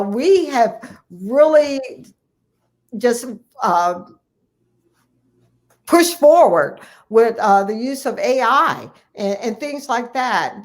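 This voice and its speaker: An American woman physician answering as an interview guest, earnest and emphatic, repeating key phrases for stress. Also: a little high. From United States, Ohio. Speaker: guest, family physician and medical association president-elect.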